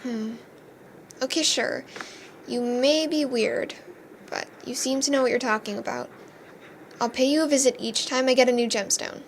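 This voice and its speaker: A woman's voice: high-pitched, normal speed, pauses often, slight background noise. A woman playing a casual, dryly teasing young fairy heroine in a scripted fantasy roleplay. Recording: music behind the voice.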